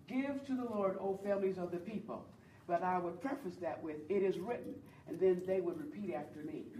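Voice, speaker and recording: A woman with a low voice teaches a group about leading worship, earnest and encouraging as she draws her listeners in. She is heard from across the room, the sound roomy and carrying a faint hum of ambience. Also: far from the mic. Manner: earnest, encouraging, speaks to the audience and invites replies. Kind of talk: church teaching talk.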